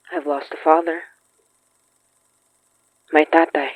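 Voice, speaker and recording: A woman's voice: sits low, no room sound, highs cut off, exaggerated voice. A woman playing an earnest, stubborn young woman who confronts ghosts in an audio horror drama. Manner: earnest, insistent, pleading.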